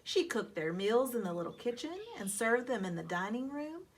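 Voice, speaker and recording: A woman's voice: a little high, normal speed. A woman reads a children's story aloud expressively, speaking to her young listeners like a teacher. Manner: expressive, explanatory, questioning the listeners. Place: outdoors. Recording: background noise from reading outdoors. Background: outdoor ambient noise.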